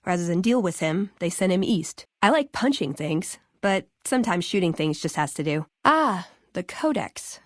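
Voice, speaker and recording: A woman's voice: lowish voice, very animated, normal speed, few pauses, dry recording, studio quality. An American woman playing a wry, earnest young scribe in a video game, speaking in a conversational way. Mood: earnest, reflective, then wry, casual. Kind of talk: video game character dialogue.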